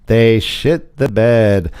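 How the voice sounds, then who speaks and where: A man's voice: lowish voice, drawn-out words, a little mellow, clear, resonant tone. A man hosting a casual fantasy football show, bantering and announcing with jokey, theatrical emphasis. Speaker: livestream show host.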